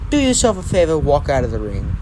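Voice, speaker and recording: A man's voice: on the high side, wildly swooping pitch, background noise. A man voicing a smug, condescending teenage hero student in an anime-style story, mocking his rival. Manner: mocking, condescending, drawn-out taunts. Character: smug, taunting teenage superhero student with evil smile.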